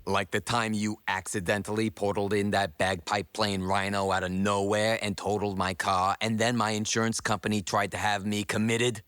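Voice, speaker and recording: A man's voice: lowish voice, little pitch movement, normal speed, few pauses, fairly bright tone, clean tone, dry recording, studio quality, natural voice. A man voicing a grumbling, sarcastic wisecracking sidekick in an audio drama. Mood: sarcastic, skeptical.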